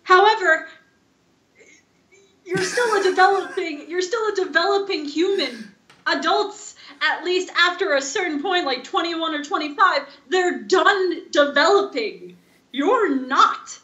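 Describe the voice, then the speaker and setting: A woman with a high, bright voice chats casually as a podcast co-host, giving her opinions. The room around her is clearly echoey. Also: expressive, unhurried.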